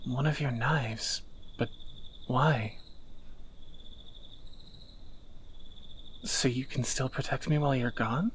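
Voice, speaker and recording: A man's voice: mid-range voice, slight background noise, natural voice. A man playing a tender, wry young thief in a romantic audio roleplay, teasing and intimate, often dropping toward a whisper. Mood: teasing, then uneasy. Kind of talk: romantic audio roleplay, one side of a dialogue.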